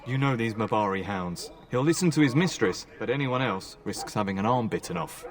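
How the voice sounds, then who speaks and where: An actor playing a polite, flustered household knight in a fantasy game, chatty and deferential. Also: male voice, lowish voice, lively intonation, a little fast, lightly acted. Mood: mildly exasperated.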